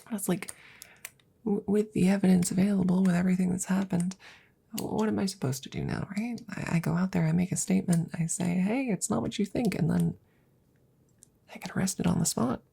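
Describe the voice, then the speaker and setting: A woman playing an anxious, devoted scientist in an intimate ASMR roleplay, rambling haltingly in a soft, breathy voice that often slips into whispers, close to the microphone. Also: fairly low pitch, steady tone, heavily acted. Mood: worried, resolute.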